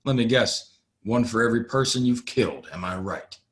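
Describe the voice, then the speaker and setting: A man acting a calm, mysterious stranger in an Old West audio play, terse and dryly mocking. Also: medium pitch, normal speed, close to an everyday voice. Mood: cool, challenging.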